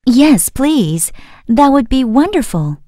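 A woman's voice: sits high, very animated, slow, dark tone, voice pushed up, heavily acted. A woman reading a children's story and voicing a playful, curious young girl. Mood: pleased.

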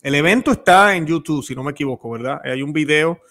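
A man in his thirties with a slightly high voice hosts a live-stream interview from a room at home. He sounds friendly and relaxed as he takes audience questions, speaking close to a studio mic. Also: brisk. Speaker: host. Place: room at home with religious images. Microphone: studio mic close to mouth.

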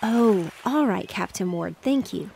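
A woman's voice: medium pitch, expressive, measured pace, creaky, a little mellow, a little hiss or hum. A woman reading aloud, voicing a literal-minded little girl playing pretend. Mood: pert. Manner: matter-of-fact and pert.

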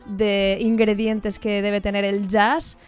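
Clear, smooth-voiced woman. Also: medium pitch, little pitch movement, slow pace, faint music behind. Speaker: radio co-host. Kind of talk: conversational radio questioning. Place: radio studio.

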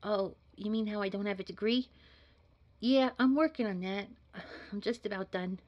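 A woman's voice: medium pitch, moderate pace, highs cut off, lightly acted. A woman playing an earnest, modest job candidate in an audio drama, talking in a chatty, hesitant, polite way. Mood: eager, modest. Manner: hesitant, rambling, informal, polite. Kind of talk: naturalistic scripted audio drama dialogue.

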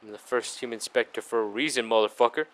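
A man's voice: mid-range voice, fairly even intonation, a little fast, fairly bright tone. A man giving casual, sweary, exclamatory gameplay commentary as he hosts a gaming video, often talking himself up. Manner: sweary, self-congratulating, exclamatory.

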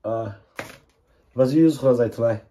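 A man's voice: mid-range voice, slow pace, warm tone. Chatty middle-aged man cooking in his kitchen. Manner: chatty, thinks aloud, jokes and asks himself questions. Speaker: vlogger cooking at home. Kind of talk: casual chatty cooking narration. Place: home kitchen, indoors. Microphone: camera over his shoulder, moving around the counter. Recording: faint background noise, frying sounds.

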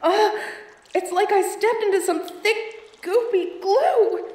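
Woman acting a high-voiced theatrical femme fatale adventuress. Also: takes it slowly, a little mellow, echoey room, far from the mic. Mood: panicked, pleading. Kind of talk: audio roleplay acting, addressed to the listener.

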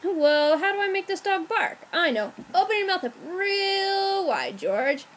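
A self-assured storybook veterinarian, read by a woman with a very high voice. The delivery is declarative and confident, like a professional taking charge. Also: very animated, slow, slight background noise, heavily acted. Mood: puzzled, determined.

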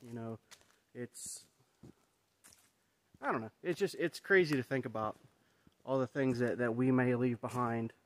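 A man's voice: medium pitch, a little slow, pauses often. A man in his thirties talks into a handheld camera as he walks along an overgrown forest road. His talk rambles and turns reflective, full of "you know" and restarts. The sound is open and outdoors. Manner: rambling, reflective, many fillers. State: walking while talking. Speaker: vlogger. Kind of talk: casual walking vlog. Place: outdoors on a wooded trail. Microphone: phone or camera held at arm's length.

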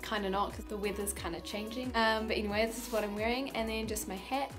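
A woman's voice: a little high, fairly even intonation, fast talker, over music. A teenage girl with a New Zealand accent, chatting casually and informally as she vlogs on a phone held at arm's length. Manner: giggly, informal. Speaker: vlogger. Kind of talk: casual vlog chat. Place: rooms at home, then stable and paddock.

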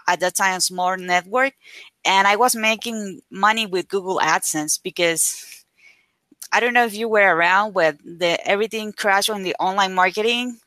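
A woman whose first language is Spanish, a guest on a live video call chatting casually about her work, with lots of "like" fillers and lively retelling. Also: mid-range voice, dry recording. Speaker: guest, affiliate marketer. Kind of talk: casual interview chat.

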